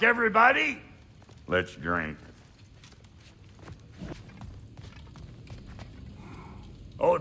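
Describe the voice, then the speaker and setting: Game casting: a foul-mouthed little dwarf smith, gravelly and sharp, irascible and blunt. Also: male voice, fairly high pitch, slight background noise, full character voice. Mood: boisterous. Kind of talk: video game cutscene acting.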